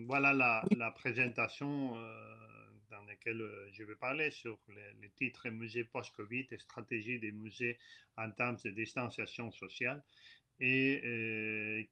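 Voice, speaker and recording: A man, not a French speaker, giving an online conference lecture in a halting, hesitant way with many filler sounds. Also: medium pitch, pushed and bright. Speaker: guest lecturer. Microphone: computer mic on a video call.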